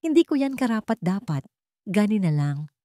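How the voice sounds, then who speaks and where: A woman voicing a remorseful, gentle wealthy man in a narrated drama, pleading earnestly and haltingly. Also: medium pitch, sing-song, no room sound, studio quality, voice pushed up, natural voice. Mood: grateful, humble.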